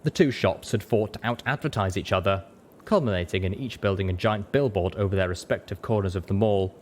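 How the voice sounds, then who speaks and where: A man's voice: a little fast, a little mellow. A man reading a story aloud as a dramatic audiobook narrator. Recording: rumbling background noise.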